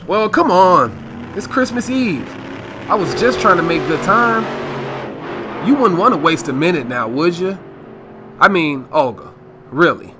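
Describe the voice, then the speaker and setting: A man's voice: high voice, expressive, a little slow, pauses often, background noise. A man playing a breezy, boastful young father in a holiday radio play, with a jovial, showy way of talking. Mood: defensive, animated. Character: breezy, boastful young dad hosting Christmas Eve. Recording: music behind the voice.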